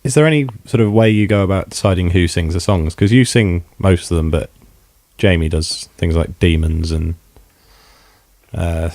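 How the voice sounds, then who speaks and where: An English man hosting a local podcast, asking casual, halting questions. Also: low-pitched, a little mellow, no room sound. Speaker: podcast host. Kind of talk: interview questions.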